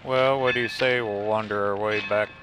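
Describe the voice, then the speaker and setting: A man's voice: lowish voice, fairly even intonation, normal speed, heavy background noise. An older man narrating a casual walk through a museum as a travel vlogger, easygoing and wry with chuckling asides. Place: indoor museum buildings.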